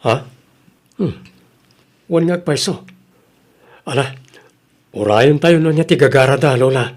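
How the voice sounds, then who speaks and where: A man's voice: medium pitch, measured pace, natural voice. A man playing a hardworking, upright young farmer grandson in a radio drama, speaking earnestly with an Ilocano accent. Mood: puzzled, polite.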